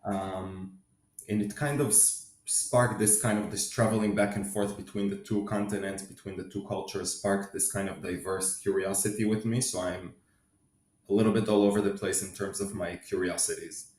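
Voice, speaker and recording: A man with a very low, deep voice speaks in a measured, thoughtful way, pausing now and then on fillers as he answers questions. He grew up in Israel and sounds middle-aged. His voice carries a noticeable room echo. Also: fairly even intonation, a little mellow. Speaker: guest, company finance executive. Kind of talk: conversational interview answers. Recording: roomy echo.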